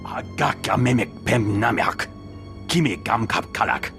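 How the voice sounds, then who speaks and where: Gravelly, animated alien crime thug for a sci-fi game, guttural and blustering, over music. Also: male voice, on the low side, unhurried, full character voice. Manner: speaks an invented alien tongue. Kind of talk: video game voice acting. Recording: music under the voice.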